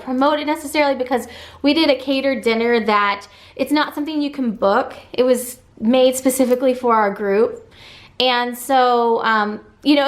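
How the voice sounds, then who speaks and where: Upbeat mom vlogger lady, a bit high-pitched, gushing and chatty with lots of likes and ums. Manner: bubbly and enthusiastic, many fillers. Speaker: family travel vlogger. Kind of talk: casual chatty recap.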